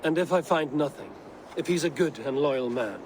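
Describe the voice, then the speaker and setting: An actor playing a stoic, steadfast Viking raider hero in a video game, speaking in a measured, plain, firm way. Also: male voice, on the high side, darkish tone, close to an everyday voice. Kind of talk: dramatic video game cutscene acting. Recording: game audio with faint background noise.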